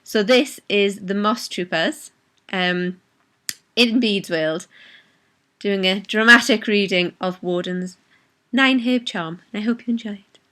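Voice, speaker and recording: A woman's voice: medium pitch, slow pace, bright, forceful tone. A woman casually introducing her video, chatty with many ums and restarts. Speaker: vlogger.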